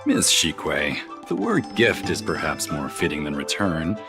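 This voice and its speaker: A man's voice: very deep voice, wildly swooping pitch, a little slow, music in the background, heavily acted. A man playing an earnest, proud envoy from a distant planet in a video game, eager and formally polite. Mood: politely firm. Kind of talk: scripted video game dialogue.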